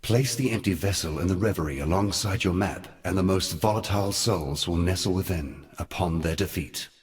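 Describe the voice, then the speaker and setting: An actor playing a grave, noble god who guides mortals, speaking in a solemn, measured, lofty way for a fantasy game. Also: male voice, low voice, natural voice. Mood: grave, instructive. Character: grave, noble brother god, guiding mentor of exiles. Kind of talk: dramatic fantasy game voice acting.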